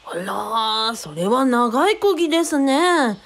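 A woman playing an excitable, vain male cult leader with theatrical, fawning politeness in a radio drama. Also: a little high, slow pace, slight background noise. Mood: jovial, flattered.

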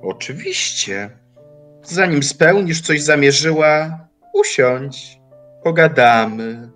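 An actor playing a calm, mocking old watchmaker and philosopher in an audio drama, speaking in deliberate, formal phrases. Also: male voice, on the high side, soft background music. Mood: calm, resigned. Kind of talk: audio drama acting, literary dialogue.

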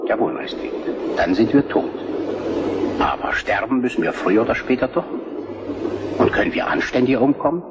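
A man's voice: mid-range voice, very animated, a little fast, pauses often, dull audio, lightly acted. An actor playing a resolute, combative Prussian statesman in a historical radio drama, with formal, emphatic oratory. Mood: resolute, solemn. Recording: very noisy archival-sounding radio play.

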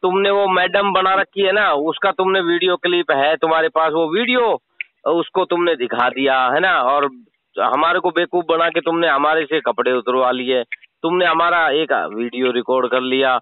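A man with a fairly high voice talks over a narrow, tinny phone connection. He argues in an informal way, probing and pressing the other person to hear him out. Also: normal speed, no echo. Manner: informal, probing, repeatedly demanding to be heard. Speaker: scam-baiter confronting a caller. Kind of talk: casual phone argument. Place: recorded phone call.

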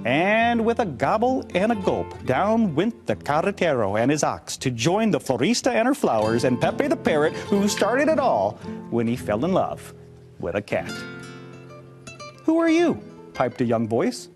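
A middle-aged man reading a children's storybook aloud with expressive narration. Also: a little high, moderate pace, music in the background. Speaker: read-aloud storybook reader. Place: indoor studio, seated on a couch.

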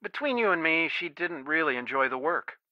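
A man's voice: on the high side, expressive, moderate pace, no echo, dull audio, natural voice. A man voicing a stern, worried middle-aged father and lawyer in a video game, earnest, firm and protective. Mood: earnest, reflective. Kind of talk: video game voice acting, one side of phone conversations.

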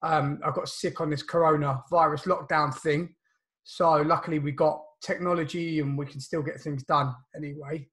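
Croaky thirties male podcast host on a webcam call; casual, filler-heavy, slightly high pitch. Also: steady tone, normal speed, a bit bright. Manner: chatty, loose, lots of fillers and restarts. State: croaky voice from illness. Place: home room, indoors. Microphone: video call webcam.

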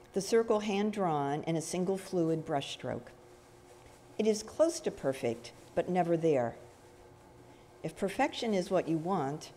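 An older woman reciting a reading at a lectern in a church hall, with careful, deliberate phrasing. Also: lowish voice, warm tone. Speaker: reader at a church service. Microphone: gooseneck lectern microphone. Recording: long hall reverb.

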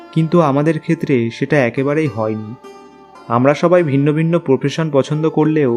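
A man's voice: mid-range voice, darkish tone, natural voice. A man playing a young journalist, narrating his own ghost story in a scripted cartoon style. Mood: reflective. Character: young male journalist recounting an eerie night. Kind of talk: scripted first-person horror story narration.

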